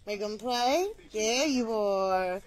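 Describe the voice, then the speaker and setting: A woman's voice: mid-range voice, slow, rough recording. A woman filming with her phone, talking to her pet in cooing baby talk. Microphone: phone camera.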